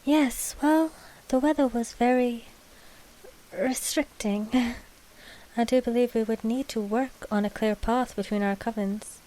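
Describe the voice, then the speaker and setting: Woman playing a high, mellow, formal vampire guest. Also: fairly even intonation, measured pace, lightly acted, poor audio. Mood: guarded, polite. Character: formal, reserved female vampire coven guest. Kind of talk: scripted ASMR roleplay.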